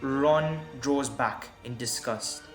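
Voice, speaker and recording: A man's voice: mid-range voice, slow pace. A man narrating a scripted audio drama in a storytelling style. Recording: roomy echo, background music.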